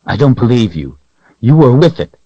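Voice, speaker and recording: A man's voice: mid-range voice, very animated, normal speed, dry recording, muffled recording, voice pushed up. A man voicing a stern young swordsman who threatens and questions a captive.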